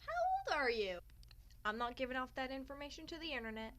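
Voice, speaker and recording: A young woman with a high, very bright voice chats casually through a personal story. She is theatrical and sarcastic, poking fun at herself as she goes. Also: huge pitch swings, a little fast. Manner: theatrical, sarcastic, self-mocking. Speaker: vlogger telling a personal story. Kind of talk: casual storytime chat to camera.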